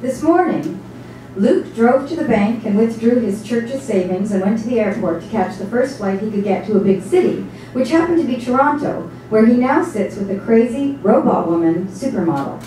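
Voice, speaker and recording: A woman's voice: a little high, expressive, moderate pace, dark tone. A woman narrating a literary text in a dramatic stage reading. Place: small indoor theatre stage before an audience. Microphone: distant camera microphone. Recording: echoey hall, distant microphone, faint noise.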